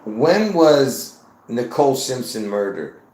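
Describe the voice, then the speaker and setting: A man in his fifties running a live stream, circling back and repeating phrases as he presses his point on viewers. His voice sits at an ordinary middle pitch for a man. The room gives everything a noticeable echo. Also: big pitch swings, takes it slowly, darkish tone. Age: 50s. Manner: repetitive, insistent, addresses viewers as folks. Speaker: livestream host. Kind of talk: rambling live-stream monologue. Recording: roomy echo.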